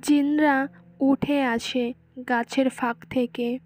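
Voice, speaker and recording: A woman's voice: sits high, steady tone, slow, darkish tone, faint music behind. A woman reading scripted fairy-tale narration as the narrator of a story.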